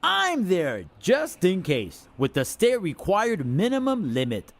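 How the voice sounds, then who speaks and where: A man's voice: high voice, wildly swooping pitch, slow, pitched above the performer's own voice, heavily acted. A man voicing an eager, overlooked insurance mascot in a comic commercial, with a plaintive, emphatic delivery. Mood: eager, insistent. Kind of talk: comic commercial character acting.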